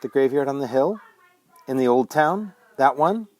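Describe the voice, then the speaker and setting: A man's voice: medium pitch, expressive, moderate pace, darkish tone, dry recording, voice pushed up, lightly acted. A man voicing a proper, suspicious mother in a storybook, controlled, terse and icily polite. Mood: alarmed, clipped. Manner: tight-lipped, controlled.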